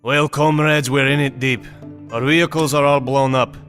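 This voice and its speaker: An actor playing a steady, resolute North Vietnamese commander giving matter-of-fact mission briefings in a video game. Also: male voice, mid-range voice, normal speed, over music, natural voice. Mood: grimly determined.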